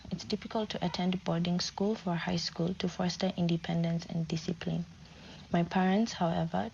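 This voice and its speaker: A woman in her twenties with a Kenyan accent, reading a prepared personal presentation in a steady way. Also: lowish voice, vocal fry. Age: 20s. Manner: steady, read-out delivery. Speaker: student. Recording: dull lo-fi recording with faint background noise.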